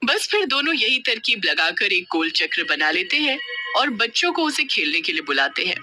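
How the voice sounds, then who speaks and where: A storyteller narrating a scripted children's tale in an expressive, dramatic manner. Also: medium pitch, normal speed, over music.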